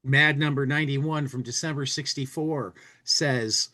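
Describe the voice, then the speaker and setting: A man hosting a podcast in casual chat, rambling and halting, often restarting his phrases. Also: mid-range voice, fairly even intonation, sharp tone. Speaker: podcast host.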